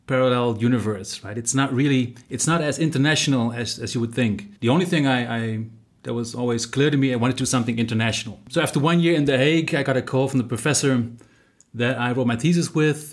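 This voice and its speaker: A middle-aged man from the Netherlands with a Dutch accent, speaking as an interview guest in a relaxed, thoughtful way, with frequent fillers and restarts. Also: mid-range voice. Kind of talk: conversational interview.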